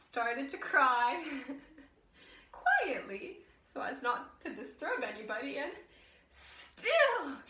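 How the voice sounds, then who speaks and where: Frazzled, high-pitched unhinged woman, echoey room. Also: heavily acted. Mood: tearful, bitter. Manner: volatile, swinging between hushed confiding, sobbing laughter and screaming. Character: frazzled, unhinged woman ranting bitterly about life and people. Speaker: actress performing a one-woman monologue. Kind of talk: theatrical stage monologue. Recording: roomy echo, distant microphone.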